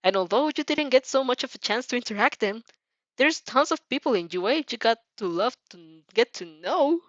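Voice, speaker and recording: A woman's voice: a little high, animated, moderate pace, a bit bright, no echo, dull audio. A woman reading fan fiction aloud as informal storytelling, giggly and prone to breaking off with comments. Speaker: fan fiction reader and dubber.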